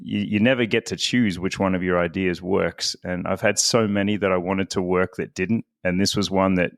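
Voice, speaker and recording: A man's voice: sits low, fairly even intonation, moderate pace, no room sound, clean studio sound. A man, a podcast guest talking casually and laughing often at himself. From Australia. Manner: chatty, self-deprecating, laughs often.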